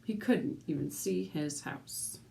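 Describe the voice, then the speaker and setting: A woman's voice: low voice, takes it slowly, vocal fry. A woman teacher reading a picture book aloud expressively, speaking as if to young listeners. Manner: animated, with asides and questions to young listeners. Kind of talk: expressive children's storybook read-aloud.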